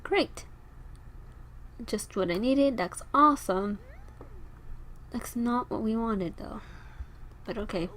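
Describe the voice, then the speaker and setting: Raspy young woman narrating her gameplay. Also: a little high, slow, pauses often, fairly bright tone, a little hiss or hum. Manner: chatty, rambling, talks to her game characters. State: tired, sore throat. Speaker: gameplay video host. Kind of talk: casual gameplay commentary.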